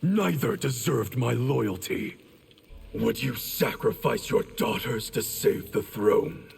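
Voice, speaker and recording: A cold, arrogant ninja talks in heavy gravel at a middling pitch. He is haughty, clipped and unrepentant, a video game villain. Clear noise sits behind the voice. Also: slow pace, darkish tone. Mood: menacing. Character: cold, ambitious, arrogant male ninja traitor. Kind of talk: dramatic video game acting.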